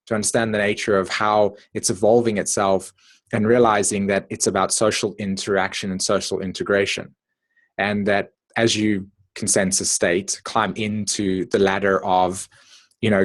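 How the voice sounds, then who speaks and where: A man with a South African accent giving an informal, reflective talk in an online meeting, haltingly repeating words and checking in with his listeners. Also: fairly low pitch. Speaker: astrologer presenting at an online meeting. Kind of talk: informal spoken lecture with personal reflections.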